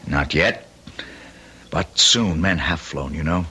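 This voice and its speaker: An actor playing an eccentric, self-assured husband in an old-time radio drama, intense and condescending, with halting, rhapsodic delivery. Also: male voice, rumbling low, sharp tone, husky, exaggerated voice. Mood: fervent.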